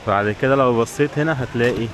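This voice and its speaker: Man, Egyptian accent, rapid-fire pace, casual travel vlog chat, direct address to viewers, noisy outdoor street recording. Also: medium pitch, a bit bright. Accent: Egyptian Arabic. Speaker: travel vlogger. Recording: clear outdoor street and traffic noise.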